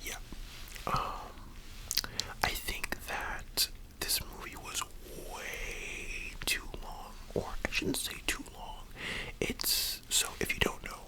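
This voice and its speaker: An ASMR host speaking in a breathy whisper, chatting casually and rambling as they address the listener directly. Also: slow, bright, forceful tone, rough recording. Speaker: host of an ASMR discussion channel. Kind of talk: whispered ASMR chat, casual movie discussion.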